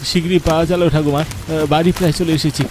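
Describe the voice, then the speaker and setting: A man's voice: a little high, level tone, fairly quick, noisy background, lightly acted. A man voicing a frightened young grandson in a horror cartoon, anxious and urgently hurrying someone along. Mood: urgent fear. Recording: music and rain behind.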